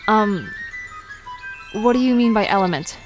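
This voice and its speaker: A woman playing a curious, outspoken young woman in an audio drama, informal and questioning, sometimes halting mid-sentence. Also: mid-range voice, expressive, soft background music, lightly acted. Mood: puzzled, curious. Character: Alina, curious, outspoken young woman learning about mythical creatures.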